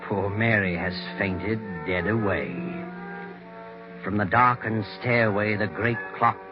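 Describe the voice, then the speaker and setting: An actor narrating a ghost mystery in a grave, foreboding, measured 1940s radio style. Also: male voice, lowish voice, fairly even intonation. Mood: ominous, grave. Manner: dramatic, ominous, measured. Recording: dull 1940s radio recording. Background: dramatic music.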